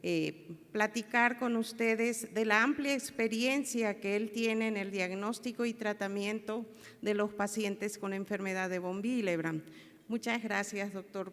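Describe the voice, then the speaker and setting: A woman's voice: medium pitch, fairly bright tone. A woman moderator formally and politely introducing a guest speaker, with hesitant fillers. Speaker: conference moderator. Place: conference hall. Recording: long hall reverb.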